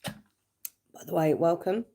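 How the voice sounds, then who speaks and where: A woman with a slightly croaky voice, chatting casually to livestream viewers and greeting them as she gets onto an exercise bike at home. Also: fairly low pitch, slow pace, mellow, a little hiss or hum. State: a bit croaky. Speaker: livestream host.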